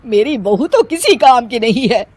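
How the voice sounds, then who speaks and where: A woman playing a middle-aged, talkative Punjabi mother-in-law who scolds and complains theatrically in an animated story. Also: a little high, normal speed, husky, no room sound. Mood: nagging. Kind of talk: animated Hindi story dialogue.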